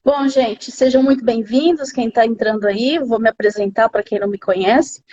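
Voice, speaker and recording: Mellow, high-pitched Brazilian woman hosting. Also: moderate pace. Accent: Brazilian, São Paulo. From São Paulo, Brazil. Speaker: host of a live interview series. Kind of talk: casual live chat, interviewing a friend. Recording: slightly dull lo-fi live-stream audio.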